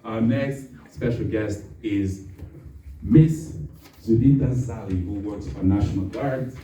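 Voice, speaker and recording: A man's voice: lowish voice, slow, dark tone, slight background noise. A man hosting an event, introducing speakers in a casual, jokey way. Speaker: event host. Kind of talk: emcee introductions. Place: large indoor hall. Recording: echoey hall from far off.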